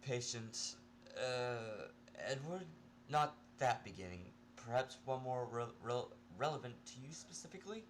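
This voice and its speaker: A man voicing a calm, clinical asylum doctor questioning an inmate, in an amateur story reading. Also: mid-range voice, a little slow, a little hiss or hum, voice pushed up, natural voice. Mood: patient.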